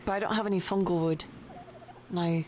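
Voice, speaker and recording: A woman streaming a game at her home desk, chatting casually and slowly with her viewers and her pet. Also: on the low side, steady tone, a little mellow, slight background noise. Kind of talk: casual live-stream chat while playing. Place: home desk with a cat nearby.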